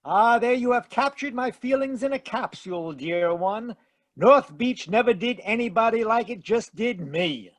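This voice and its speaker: A man acts out a wisecracking private eye in a 1940s-style radio drama. The voice is strikingly high for a man. He is flirtatious, flowery and wry, recorded close and dry. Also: expressive, normal speed, dry recording. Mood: jaunty, wry. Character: wisecracking, flirtatious hard-boiled private detective, grown man. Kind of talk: 1940s radio detective acting.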